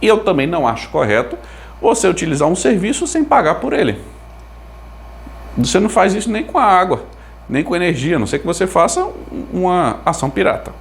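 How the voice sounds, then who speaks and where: A man's voice: high-pitched, very animated, a little fast, creaky, slight background noise. A Brazilian man giving an informal explanation to viewers, thinking aloud in a conversational way with rhetorical questions. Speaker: video creator explaining consumer telecom topics.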